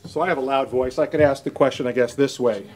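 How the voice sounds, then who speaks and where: A man's voice: medium pitch, fast talker, a little mellow. A man giving a chatty, anecdotal speech at a podium, dropping in self-deprecating asides. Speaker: event emcee.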